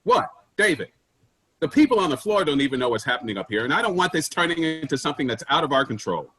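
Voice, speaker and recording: Abrasive, callous stage boss, male actor, sarcastic and brusque, highly animated, forceful tone. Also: fast talker. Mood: bossy, impatient. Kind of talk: staged play reading.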